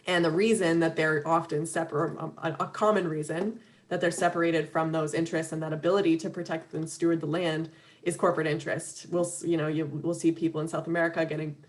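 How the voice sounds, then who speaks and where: A woman giving an earnest, conversational webinar talk over a video call, full of fillers and restarts, her voice a little worn. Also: sits low. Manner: earnest, many fillers and restarts, laughs lightly between points. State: slightly losing her voice. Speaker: webinar speaker for an advocacy organization.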